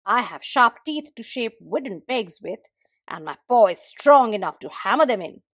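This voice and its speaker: A woman's voice: a little high, lively intonation, moderate pace, no echo, clean studio sound. A woman voicing a friendly, keen hare in a folktale, earnest and emphatic, with folksy phrasing. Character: male hare, friendly, keen, proud carpenter.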